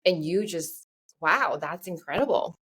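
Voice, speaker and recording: A woman with a slightly low voice co-hosts a casual podcast chat. She speaks quickly and admiringly, stumbling over her words a little. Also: animated, a bit bright, slightly rough.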